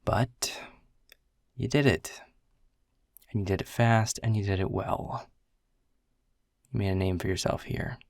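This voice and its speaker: A man's voice: measured pace, pauses often, no room sound. An actor playing a world-weary, sarcastic male middle manager who grumbles and teases dryly. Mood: gruff teasing. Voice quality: low gravelly husky voice. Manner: sarcastic, grumbling, dry teasing. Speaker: boss giving a farewell speech. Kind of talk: audio roleplay acting. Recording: dry close mic.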